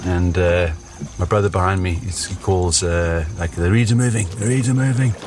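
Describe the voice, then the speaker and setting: A man recounting his expedition experiences in spontaneous, halting first-person storytelling, with vivid phrasing and words repeated for effect. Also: low-pitched, steady tone. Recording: clear water and outdoor noise behind. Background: water and outdoor ambience.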